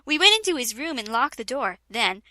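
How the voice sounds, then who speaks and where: A woman's voice: on the high side, big pitch swings, fairly quick, sharp tone, dry recording. A young woman doing a comedic dramatic reading, giggling and breaking off for asides. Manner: giggly, breaks into laughter mid-sentence; mock-dramatic, interrupts herself with asides. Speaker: reader of a parody fanfic.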